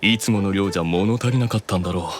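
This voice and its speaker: A man's voice: low-pitched, close to an everyday voice. Composed, proud demon eldest brother, played by a man. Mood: coolly observant. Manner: composed, authoritative, deliberate explaining. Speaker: voice actor. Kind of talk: anime audio drama dialogue.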